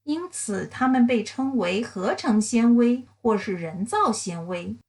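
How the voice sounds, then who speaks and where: Female read-aloud narrator for children's science books: higher-pitched, lively intonation, careful enunciation. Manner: slow, careful enunciation, bilingual English and Mandarin. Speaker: picture book reader and narrator.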